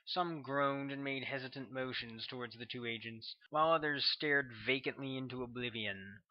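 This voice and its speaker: A man reads his own novel aloud in a steady, measured way, sounding like an audiobook narrator. His voice sits at an ordinary middle pitch for a man. The recording is somewhat dull and lo-fi. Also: no echo.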